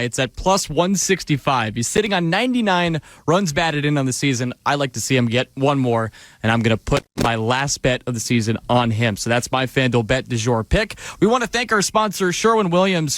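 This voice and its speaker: A man's voice: on the high side, a bit bright, no echo. Male sports radio host in a dry studio. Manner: measured and somber on the news, brisk and upbeat on ad reads. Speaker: radio pregame show host. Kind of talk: radio sports hosting and live ad reads. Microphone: studio microphone.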